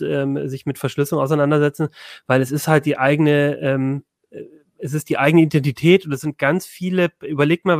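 A man hosting a tech podcast, speaking with a southern German accent and often pausing with fillers and restarts. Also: medium pitch, dry recording, studio quality. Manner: hesitant, many fillers and restarts. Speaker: podcast host. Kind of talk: casual tech podcast chat.

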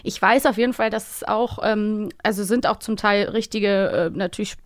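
A woman's voice: mid-range voice, steady tone, moderate pace, no echo, clean studio sound. A woman co-hosting a podcast about radio plays, chatting casually, laughing often and using lots of fillers. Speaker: podcast co-host.

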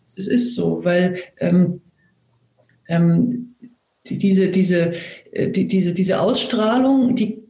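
Mellow-voiced German woman, roomy sound. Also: on the low side. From eastern Germany. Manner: slow, earnest explaining with frequent restarts. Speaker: actress and talk host. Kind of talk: reflective conversation. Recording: roomy echo.

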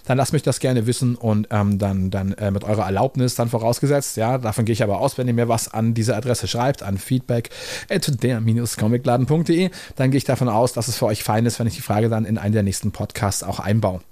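A man's voice: medium pitch, brisk, mellow. A man in his forties hosting his own podcast, talking in a chatty, rambling way with many fillers. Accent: German. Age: 40s. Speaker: podcast host. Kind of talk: casual monologue.

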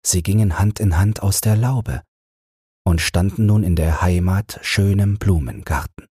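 A man gently narrating a bedtime fairy tale in a soothing, measured way, close to the microphone. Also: low voice, breathy edge, dry recording. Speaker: audiobook narrator.

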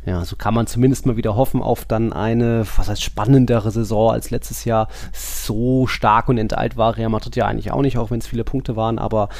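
A man's voice: fairly low pitch, steady tone, fairly quick, hardly any pauses, darkish tone, dry recording, studio quality. A man co-hosting a football podcast, talking in a colloquial, wry, rambling way. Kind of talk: casual podcast discussion.

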